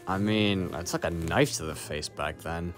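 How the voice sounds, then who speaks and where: Casting: battle-worn male syndicate agent man acting, lowish register, dry and guarded, audio drama, music bed. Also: normal speed, lightly acted. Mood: grave, resolute.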